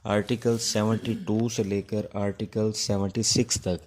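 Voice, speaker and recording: A man with a Pakistani accent gives an informal exam-prep law lecture, mixing in English legal terms, in a halting, instructive way with pauses between phrases. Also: lowish voice, level tone, darkish tone, slight background noise.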